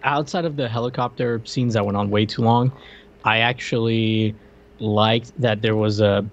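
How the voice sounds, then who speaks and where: A man co-hosting a casual movie podcast, giving his take on an old film. He talks in halting bursts and often repeats the first word of a sentence before going on. His voice comes through a slightly dull, lo-fi line. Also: on the low side, steady tone, slight background noise. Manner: halting, stammers on first words. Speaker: podcast co-host. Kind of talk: casual movie review chat.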